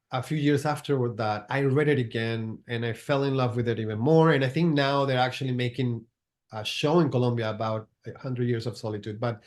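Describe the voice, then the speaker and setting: A man's voice: mid-range voice, darkish tone. A man from Venezuela, a makeup artist guest chatting loosely with many fillers and restarts. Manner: rambling, lots of fillers, restarts and slips into Spanish. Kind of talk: casual interview chat.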